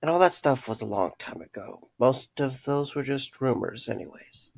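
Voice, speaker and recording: A man acting an earnest, guilt-ridden man in an audio drama, with halting, serious delivery. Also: medium pitch, lively intonation, gravelly, husky. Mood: reassuring. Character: earnest, guilt-ridden man confessing dark secrets to a friend.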